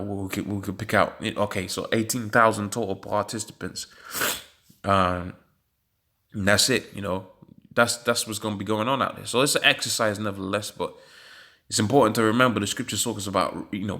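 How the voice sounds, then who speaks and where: A British man, sniffly from hay fever, commenting on a news article with a religious slant, halting with many fillers and emphatic rhetorical questions. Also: lowish voice, fairly even intonation, sharp tone.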